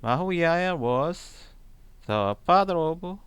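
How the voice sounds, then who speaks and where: A man reads scripture aloud extremely slowly, breaking it into short phrases with long pauses. His voice sits a little high, with a lively rise and fall in pitch. The delivery is halting and deliberate. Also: clean tone, no room sound. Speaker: Bible reader, channel host. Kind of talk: slow read-aloud of scripture.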